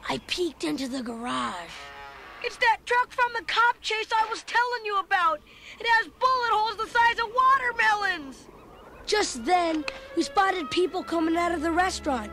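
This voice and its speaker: An actor voicing an excitable young boy who narrates a storybook read-along in an earnest, dramatic manner. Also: extremely high pitch, slight background noise, heavily acted. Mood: excited, insistent.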